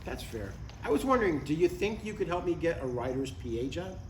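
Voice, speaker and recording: A man's voice: on the high side, sing-song, brisk. A man in his sixties playing an earnest, stubborn father who gets defensive, in a live comedy table read. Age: 60s. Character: earnest, stubborn sixty-two-year-old father chasing a writing career. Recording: echoey live room, distant mic, faint noise.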